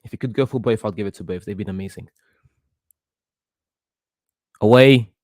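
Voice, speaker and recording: A young man from Portugal, about eighteen, hosting a casual football watchalong stream in an excitable, reactive way and often repeating himself. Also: lowish voice, very fast, no echo, clean studio sound. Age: young adult, about 18. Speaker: livestream host.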